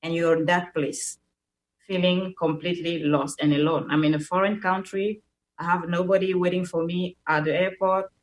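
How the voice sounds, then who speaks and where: A woman's voice: fairly low pitch, fairly even intonation, moderate pace, poor audio. Young woman from Cameroon, French first language, Cameroonian French accent; earnest guest storyteller. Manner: earnest, repeats words while searching for them. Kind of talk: personal storytelling on a talk show.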